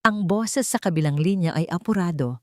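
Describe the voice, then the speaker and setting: A woman with a slightly low voice reads a dramatic audio story. Her delivery is measured and solemn, and the recording is dry and close, with little room sound. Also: a little mellow, dry recording. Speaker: story narrator. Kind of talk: scripted dramatic audio story narration in Tagalog.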